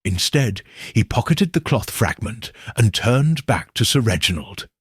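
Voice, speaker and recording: A man narrating a detective story in the first person with slow, expressive delivery. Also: medium pitch, slightly rough, no echo, studio quality. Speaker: audiobook narrator.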